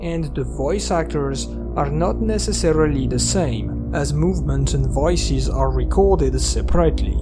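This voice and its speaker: A man with a somewhat high-pitched voice reads scripted commentary as a video narrator. His delivery is measured and explanatory. Game soundtrack music plays behind him. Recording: music under the voice.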